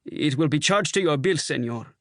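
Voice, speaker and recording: A man's voice: fairly high pitch, lively intonation, normal speed, a bit bright, dry recording, studio quality. A man voicing an anxious, polite hotel maitre d' who pleads with his guests. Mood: pleading.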